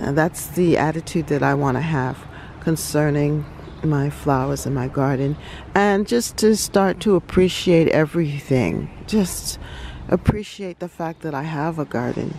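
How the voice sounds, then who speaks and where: A woman hosting a relaxed garden tour outdoors, talking reflectively with many pauses and ums. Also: deep voice, creaky, slight background noise. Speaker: garden channel host. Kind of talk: casual garden tour vlog.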